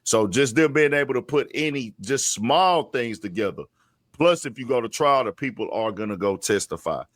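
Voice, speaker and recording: A man's voice: medium pitch, lively intonation, moderate pace, fairly bright tone, no room sound, studio quality. A man hosting a talk show, giving casual, blunt, slangy street-style commentary on the news. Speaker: host commentator.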